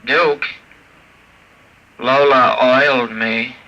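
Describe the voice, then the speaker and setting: An actor playing a large, childlike killing robot in a 1950s radio drama, with a harsh, flat voice and slow, simple, obedient replies. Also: male voice, mid-range voice, muffled recording. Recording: noisy old radio broadcast.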